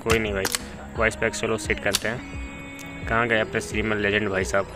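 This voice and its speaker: Low-voiced gamer over loud music. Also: male voice, little pitch movement, brisk. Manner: informal, chatty, addresses viewers as brothers. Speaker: gaming video creator. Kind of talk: casual gameplay commentary.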